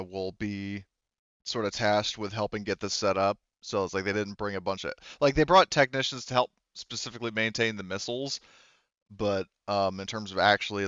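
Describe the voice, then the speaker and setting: A man with a fairly low voice works through a tabletop game scene as its game master. He talks haltingly, composing as he goes. The recording is dry and slightly muffled. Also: fairly bright tone, clear, resonant tone, no echo. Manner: halting, thinking aloud. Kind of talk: narrating a tabletop roleplaying game.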